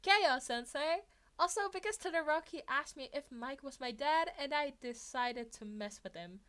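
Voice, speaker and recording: Woman doing a mischievous teen schoolboy for a story, high pitched, chatty, excitable n cheeky. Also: normal speed. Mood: cheerful, cheeky.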